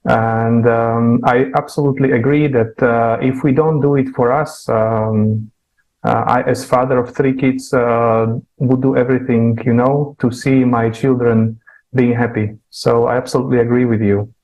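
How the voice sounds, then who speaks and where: A man with a medium-pitched voice hosts a panel discussion in a conversational style. His phrasing is hesitant and broken into small pieces as he moderates. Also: fairly even intonation, normal speed, a little mellow, rough recording. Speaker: forum moderator.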